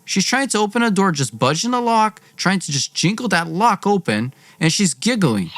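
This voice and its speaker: A man's voice: sits high, big pitch swings, moderate pace, fairly bright tone, no echo. A young man telling a dramatic personal story as a podcast host, theatrical and slangy in his delivery.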